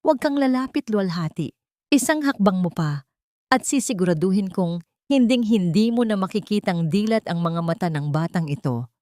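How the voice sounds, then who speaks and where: A woman's voice: mid-range voice, expressive, normal speed, a little mellow, no room sound, clean studio sound, close to an everyday voice. A woman voicing a jealous, menacing rich woman villain in a dramatic audio story.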